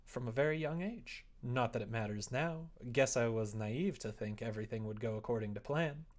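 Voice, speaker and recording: A man's voice: mid-range voice, normal speed, close to an everyday voice. A man voicing a reserved, bitter young woman in a visual novel, speaking in a guarded, blunt way. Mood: resigned. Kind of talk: visual novel read aloud.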